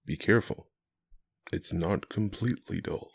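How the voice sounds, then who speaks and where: A performer voicing a giant, solemn male cat-warrior in an audio drama, low and hushed, speaking gravely in few words. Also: exaggerated voice. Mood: grave, gentle. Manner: slow, terse, grave. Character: giant gentle solemn cat-man warrior, protective and sorrowful.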